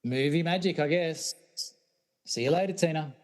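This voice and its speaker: A man's voice: a little high, normal speed, lightly acted. A man playing a laid-back, mildly worried husband in a radio play, casual and understated. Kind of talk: amateur radio-play acting.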